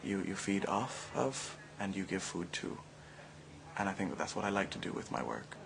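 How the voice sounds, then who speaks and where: A man from Barbados, an artist, reflecting in a measured, searching way. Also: deep voice, lively intonation, vocal fry, fairly bright tone, a little hiss or hum, poor audio. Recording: faint background ambience.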